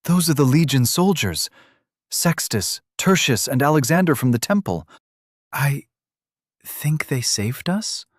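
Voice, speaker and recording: A man's voice: medium pitch, expressive, measured pace, no room sound, clean studio sound, pitched above the performer's own voice. A man voicing a startled, stammering young woman warrior in a fantasy audiobook. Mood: flustered.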